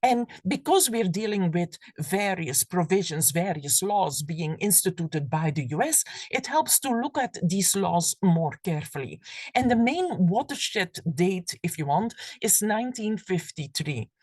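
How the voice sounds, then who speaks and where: A woman lecturing on an academic subject, her voice pitched on the low side with a soft, breathy edge. She speaks thoughtfully and restarts phrases as she reaches for the right word. The sound is dry, with almost no room around it. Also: animated, unhurried, no echo. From Belgium. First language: Flemish. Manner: thoughtful, restarts phrases while searching for words. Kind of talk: university lecture.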